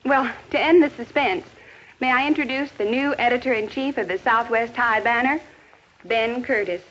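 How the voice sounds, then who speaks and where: An actress playing an earnest, caring high school teacher in a 1950s educational film, measured and formal in delivery. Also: female voice, a bit bright, lightly acted. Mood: formal, composed. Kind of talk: 1950s educational film acting with inner-thought narration. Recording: dull, noisy 1950s film soundtrack.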